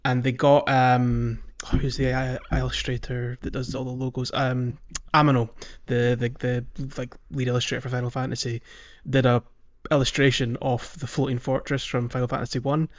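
A man's voice: medium pitch, level tone. Man chatting on a podcast, slightly dull audio. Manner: halting, rambling, repeats words. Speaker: podcast co-host. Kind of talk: casual podcast discussion.

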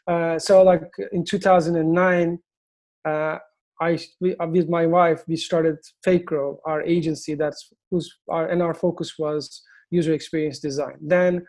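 Turkish-accented male founder, mellow tone, halting speech. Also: on the high side, flat delivery. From Turkey. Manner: halting, many fillers and restarts. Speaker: agency founder, guest. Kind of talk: interview answers.

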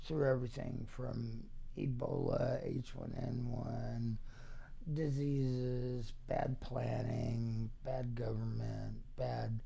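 Very gravelly, slow-talking, wisecracking man. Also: mid-range voice, expressive, dark tone. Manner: halting, joking, wisecracking. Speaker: interview guest, poet. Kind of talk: casual chat.

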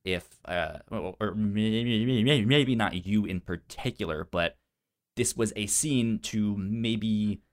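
A man co-hosting a podcast, casually discussing a film with a halting, stuttering delivery. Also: fairly low pitch. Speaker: podcast co-host.

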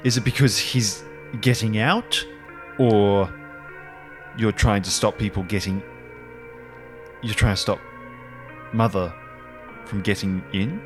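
A man playing in a tabletop roleplay, improvising casually and haltingly as he thinks aloud. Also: fairly low pitch, fairly even intonation. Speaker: player in a tabletop roleplay show. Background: music.

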